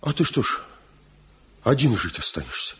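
A man's voice: mid-range voice, big pitch swings, normal speed, a bit bright, husky, heavily acted. An actor playing a pious elder master craftsman in a 1970s radio play, earnest and reproachful as he pleads. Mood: pleading. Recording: dull lo-fi old radio recording.

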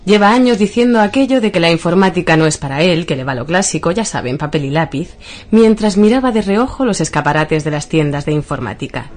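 A woman narrator reading a scripted comic story in a wry, deliberate storytelling manner, with music behind her. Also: on the low side, animated, darkish tone.

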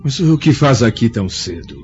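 A man's voice: medium pitch, very animated, moderate pace, darkish tone. An actor playing a proud, affectionate elderly senator and father in a radio drama, stately and theatrical. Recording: dull lo-fi audio, faint music behind.

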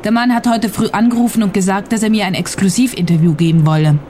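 An actress playing a cool, cynical television reporter in a radio crime comedy, matter-of-fact and unbothered. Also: female voice, medium pitch, fairly even intonation, fast, mellow, slight background noise, natural voice. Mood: cool, indifferent.

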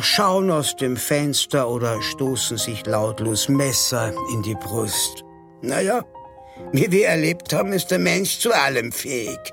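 A man's voice: mid-range voice, creaky. A man playing a courteous, droll announcer in a radio play, formal and wry in manner. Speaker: presenter.

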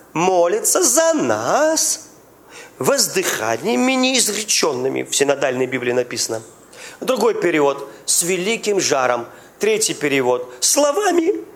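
A man preaching a sermon as pastor, lively and questioning the congregation, at times speaking in tongues. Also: high-pitched.

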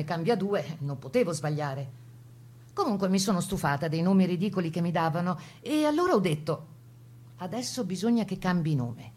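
A woman's voice: lowish voice, a little mellow, husky. An actress as a composed woman partisan recalling wartime. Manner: composed first-person storytelling. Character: plucky Italian woman partisan recalling wartime Resistance missions. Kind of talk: dramatized testimony reading for radio.

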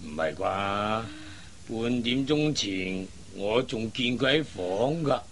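A man's voice: lowish voice, expressive, slow pace, a bit bright. A man playing a worried, hesitant elderly father in a radio drama, often sighing. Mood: puzzled, troubled. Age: older. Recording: faint background noise.

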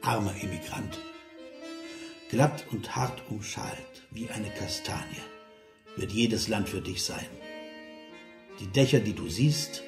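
Bitter journalist in an echoing room. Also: male voice, lowish voice, takes it slowly, pauses often. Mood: bitter, mournful. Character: bitter, disillusioned male journalist, sardonic and despairing. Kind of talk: radio drama, declamatory speech. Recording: roomy echo, music behind.

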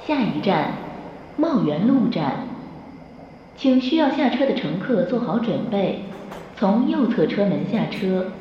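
A woman's voice: medium pitch, sing-song, unhurried, pauses often. Formal train announcer lady, dull speaker sound with huge echo, noisy behind. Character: formal female subway announcement voice. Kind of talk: public transport announcement. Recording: echoey muffled PA, long reverb, noisy.

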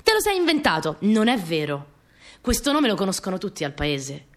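A woman's voice: mid-range voice, huge pitch swings, moderate pace. An actress playing a young peasant girl who recounts her rural family life in simple, repetitive phrases for a radio play. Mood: playful, insistent. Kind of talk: first-person dramatic storytelling.

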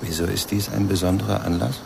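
An actor playing a cynical yet gentle man with a gravelly voice and a blunt, probing manner in a German radio crime drama. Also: low voice, fairly even intonation, fast talker, noisy background.

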